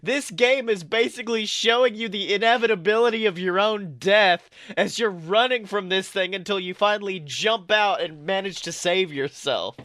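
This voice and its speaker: A man co-hosting a casual podcast, talking excitedly, swearing freely and laughing often. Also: high-pitched, moderate pace, few pauses, no room sound, studio quality. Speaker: podcast co-host. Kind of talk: casual pop-culture chat.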